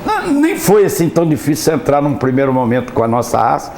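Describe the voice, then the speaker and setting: A man's voice: a little high, huge pitch swings, fast talker. A man from Brazil with a São Paulo interior accent, a cattle breeder being interviewed, earnestly telling his life story. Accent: Brazilian Portuguese, São Paulo interior. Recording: echoey studio with background noise.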